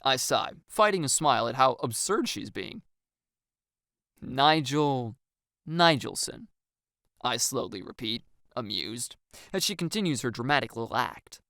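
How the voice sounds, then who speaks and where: An audiobook narrator tells a first-person story and acts out the lines with wry expression. The voice has a noticeable creak and sits close, with no room sound around it. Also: medium pitch, big pitch swings, a little slow, pushed and bright, dry recording.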